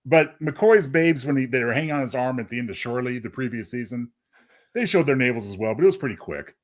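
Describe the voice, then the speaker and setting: A man's voice: mid-range voice, animated, fast talker. A man co-hosting a podcast in casual conversational chat. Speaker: podcast co-host.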